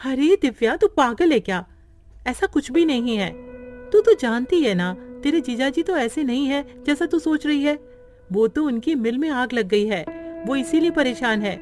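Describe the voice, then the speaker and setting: Caring young wife played by a woman, higher register, gentle and respectful, cartoon moral tale, faint music. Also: expressive, normal speed. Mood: gently scolding. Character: caring, reassuring young wife of a poor man.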